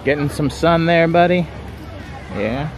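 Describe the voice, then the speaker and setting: A man narrating a casual walking tour of an animal park, making relaxed, chatty asides. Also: fairly high pitch, measured pace, over music. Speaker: vlogger. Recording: loud crowd and outdoor noise.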